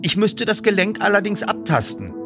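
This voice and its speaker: A precocious boy detective, voiced by a male reader, composed and persistent, stretching out formal know-it-all phrases in a storybook reading. His intonation is animated and pitched up, with music behind. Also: moderate pace. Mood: matter-of-fact. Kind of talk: audiobook dialogue.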